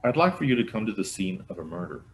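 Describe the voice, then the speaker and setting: A man's voice: fairly low pitch, very animated, quick pace, a bit bright, slight background noise, voice pushed up, low-quality recording. A man voicing a commanding, matter-of-fact small-town sheriff in a storybook reading. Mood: firm, businesslike.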